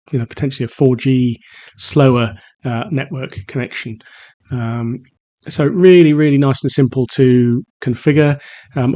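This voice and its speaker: A man's voice: mid-range voice, dark tone. A man with a mid-range voice talks through a product in a casual review. He explains things informally, feeling his way through sentences with some hesitation. It sounds like a home renovator sharing an honest hands-on walkthrough.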